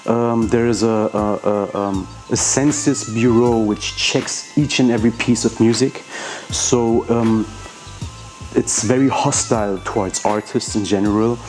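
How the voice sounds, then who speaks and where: A man's voice: lowish voice, fairly even intonation, measured pace, darkish tone, faint music behind. A man from Iran whose first language is Persian, a rapper answering interview questions in a hesitant way, with many fillers and restarts.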